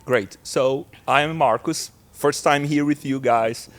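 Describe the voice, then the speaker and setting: A man from Brazil with a Brazilian Portuguese accent, a pastor speaking English as a second language, chatting easily with halting phrasing. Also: medium pitch. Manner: easygoing, laughs, halting phrasing. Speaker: missions pastor. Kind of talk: casual podcast chat.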